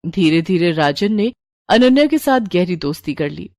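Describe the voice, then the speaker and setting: A woman's voice: on the low side, very animated, moderate pace, darkish tone, no echo. Woman narrating a story, dry close sound.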